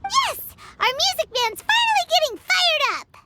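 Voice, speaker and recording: A woman voicing a tiny, excitable childlike fairy companion in an anime video game, bubbly and chirpy, with music behind her. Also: very high voice, measured pace, very noisy, heavily acted. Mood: excited.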